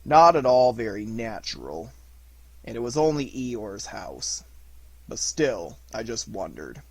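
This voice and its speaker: A man voicing a melancholy, self-pitying donkey in a storybook, slow, deadpan and resigned. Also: medium pitch, creaky, slightly rough, no room sound, full character voice.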